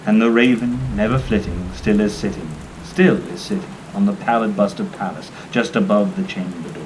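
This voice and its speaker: A man giving a dramatic, deliberate recitation of a gothic poem, with theatrical phrasing. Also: on the low side, darkish tone, slight background noise, rough recording. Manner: deliberate, theatrical, occasional stumbles. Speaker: poetry reader.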